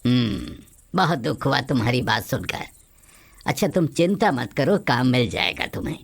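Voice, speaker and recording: A woman voicing a male building contractor in a cartoon story, a brisk, matter-of-fact boss. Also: extremely low pitch, sing-song, vocal fry, full character voice. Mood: sympathetic. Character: building contractor, a man, brisk boss, sympathetic but bossy.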